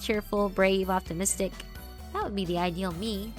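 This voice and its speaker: A woman's voice: medium pitch, steady tone, normal speed, faint music behind, natural voice. A woman voicing a timid, well-meaning girl who muses to herself hesitantly in a visual novel. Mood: fretful musing.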